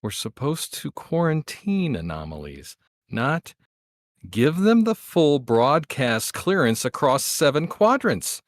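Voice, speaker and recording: A man's voice: a little high, sing-song, slow pace, dry recording, clean studio sound. A man playing an exasperated, scolding, foul-mouthed agent in a script read-through. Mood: seething, deadpan.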